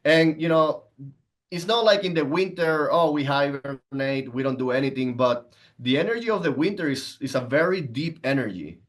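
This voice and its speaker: Man, thirties, mid-pitched voice, conversational storytelling, podcast guest. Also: moderate pace. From Venezuela. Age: 30s. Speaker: podcast guest, entrepreneur and coach. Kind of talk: conversational personal storytelling and explanation.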